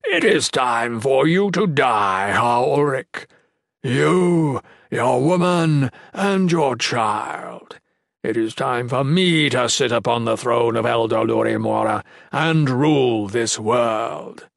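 A man voicing a monstrous, rotting undead tyrant in a fantasy audiobook, mocking and imperious, with a rumbling voice and slow, grandiose menace. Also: lively intonation, gravelly, no room sound, clean studio sound. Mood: gloating, menacing. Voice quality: rumbling, thunderous.

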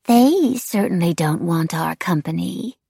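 A woman voicing a sinister, demented female wraith who taunts in a breathy, whispering way with sing-song repetition. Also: lowish voice, expressive, measured pace, dark tone, no room sound, studio quality, full character voice. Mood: mocking.

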